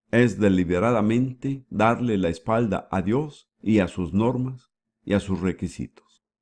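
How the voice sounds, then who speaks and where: A man giving the voice-over for a sermon, with a measured preaching delivery and frequent rhetorical questions. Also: on the low side, warm tone. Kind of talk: dubbed sermon.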